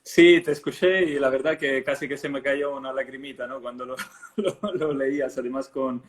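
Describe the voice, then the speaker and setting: A man's voice: mid-range voice, steady tone, moderate pace. Middle-aged Italian man, hesitant phrasing. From southern Italy. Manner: hesitant phrasing, frequent checking tags. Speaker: interview guest, author. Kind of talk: conversational personal account.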